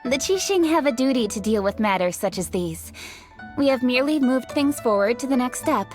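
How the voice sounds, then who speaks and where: An actress voicing a brisk, poised young official. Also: female voice, on the high side, animated, lightly acted. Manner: direct, businesslike and poised. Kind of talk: video game voice acting. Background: game music.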